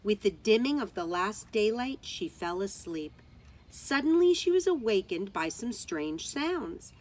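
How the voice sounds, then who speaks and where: A woman's voice: medium pitch, sing-song, measured pace, darkish tone, low-quality recording. A woman teacher reading a children's story aloud outdoors, expressively, with dramatic emphasis on key words.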